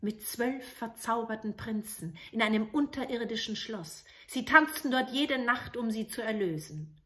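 A woman's voice: a little high, a little slow, bright, forceful tone. Woman playing a plain-spoken aging soldier. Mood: matter-of-fact.

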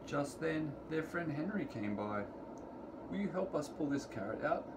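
A middle-aged man reading a children's picture book aloud to preschoolers in deliberate, sing-song storytelling phrasing, recorded in a room at home. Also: on the high side, expressive. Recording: clearly noisy home recording, room ambience.

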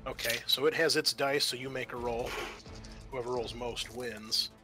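A man narrating a tabletop roleplaying game as game master, casually, pausing as he goes and reading out rules. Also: medium pitch, steady tone, over music.

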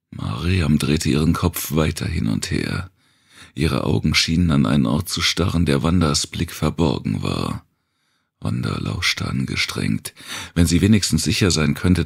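A man reading a dark thriller audiobook in a deliberate, suspenseful storytelling manner. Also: rumbling low, gravelly, slightly rough, dry recording, clean studio sound. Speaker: audiobook narrator.

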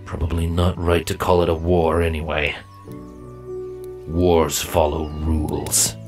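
A man's voice: extremely low pitch, monotone, music in the background, voice pushed down. A man voicing a brooding, firm male changeling squad leader in a fantasy story. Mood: stern, brooding. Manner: firm, terse, deliberate.

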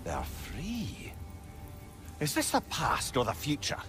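An actor with a Scottish accent playing a wry, knowing older advisor in a video game cutscene. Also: male voice, on the high side, wildly swooping pitch, slow, a bit bright, soft background music, full character voice. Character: wry, learned older male advisor, a severed head.

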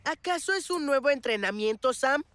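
A woman's voice: high voice, lively intonation, moderate pace, a bit bright, dry recording, soft background music. A woman voicing an eager, cocky young schoolboy in a children's cartoon, with a Latin American Spanish accent and an insistent, boastful manner. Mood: eager, confident. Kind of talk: animated children's series dubbing.

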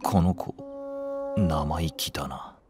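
An actor playing a gruff, cynical young male nursery teacher, curt and sardonic, in an anime drama. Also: rumbling low, takes it slowly, a little mellow, soft background music. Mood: irritated.

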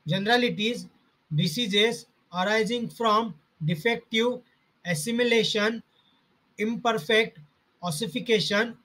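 A man slowly reading out homeopathy study notes in short, halting fragments. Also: high-pitched, sharp tone, poor audio.

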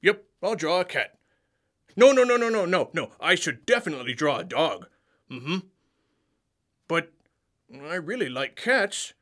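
A man's voice: on the high side, very animated, measured pace, long pauses, fairly bright tone. A man voicing an indecisive, childlike, friendly monster puppet for a kids' show, exclaiming and drawing out words as he dithers. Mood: torn, dithering.

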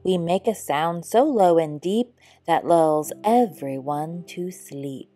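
A sleepy pond frog performed by a woman from a children's storybook. The slow, drowsy rhyme sinks down deep and mellow, with music behind. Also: animated. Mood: soothing. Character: low, sleepy pond frogs croaking lullabies. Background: background music.

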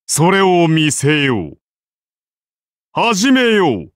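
A man's voice: on the high side, wildly swooping pitch, extremely slow, fairly bright tone, no room sound, studio quality, exaggerated voice. A man voicing a godlike founder-king and lancer of Rome in an anime game, grand, solemn and declamatory. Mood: solemn, majestic. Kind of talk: anime game battle voice lines.

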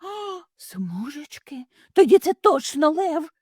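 A woman voicing a silly, chatty mother hare who talks in bright exclamations. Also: mid-range voice, wildly swooping pitch, moderate pace, dry recording, studio quality. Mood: alarmed.

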